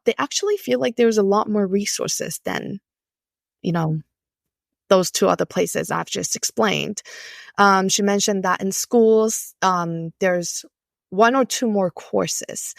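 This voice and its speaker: A woman from Taiwan, a company co-founder, speaking as a podcast guest in a measured, thoughtful way with frequent pauses and fillers. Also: on the low side, creaky, no echo, studio quality. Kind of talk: podcast conversation.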